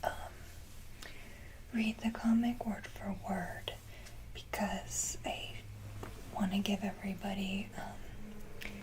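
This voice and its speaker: A woman whispering breathily in a casual ASMR style, speaking to viewers in a gentle, halting manner. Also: medium pitch, level tone. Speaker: ASMR video creator. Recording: clearly noisy, hissy background.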